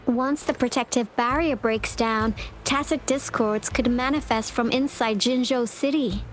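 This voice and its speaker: A woman's voice: on the high side, fairly even intonation, unhurried, a little hiss or hum, lightly acted. A woman playing a composed, earnest government official in an English game dub, speaking formally and articulately over music. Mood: serious. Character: composed, earnest female government official overseeing city defenses. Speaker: game character, director of defense fortifications. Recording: studio voice under loud music. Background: game soundtrack music.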